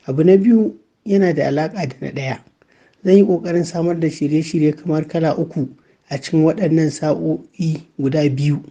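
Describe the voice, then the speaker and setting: Male, slightly high register, extremely mellow timbre, orderly and formal explanatory monologue. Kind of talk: prepared monologue answer, explanatory.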